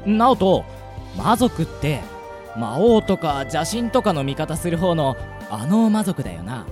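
Woman as a flippant, girl-crazy young man, lively pitch. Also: unhurried, music in the background. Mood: puzzled. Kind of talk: anime-style radio drama acting.

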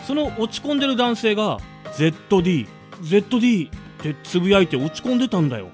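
Brief: male actor for an excitable, gossipy hand strap in comic radio drama; high voice, swooping intonation. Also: fast, faint music behind. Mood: eager, gossipy. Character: friendly chatty male train hand strap, excitable senior colleague.

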